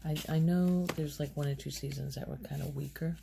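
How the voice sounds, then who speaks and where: Low, creaky, soft-spoken ASMR woman. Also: fairly even intonation, very noisy. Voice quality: soft, often breaking into whisper. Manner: unhurried, halting, thinking aloud. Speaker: ASMR video host. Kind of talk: soft-spoken ASMR, casual rambling show-and-tell.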